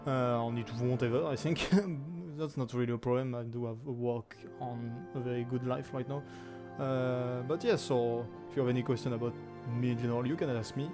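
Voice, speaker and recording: A man's voice: mid-range voice, steady tone, a little fast, hardly any pauses, music in the background. A man in his twenties with a strong French accent, chatting casually to his gaming channel's viewers, rambling with lots of fillers and self-corrections. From France. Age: 20s. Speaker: gaming YouTuber. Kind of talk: casual anniversary chat to camera.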